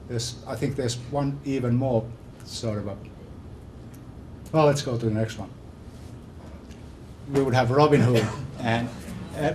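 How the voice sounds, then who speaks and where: A man with a strong Finnish accent giving an informal academic lecture, haltingly, often repeating words and phrases. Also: medium pitch, mellow, a little hiss or hum, low-quality recording. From Finland. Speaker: visiting scholar giving a lecture.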